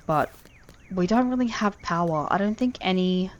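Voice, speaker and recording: A woman's voice: fairly low pitch, lively intonation, moderate pace, dull audio, slight background noise. An Australian woman in her thirties, chatting casually and earnestly over her gameplay, often trailing off mid-sentence. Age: 30s. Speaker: gaming channel host.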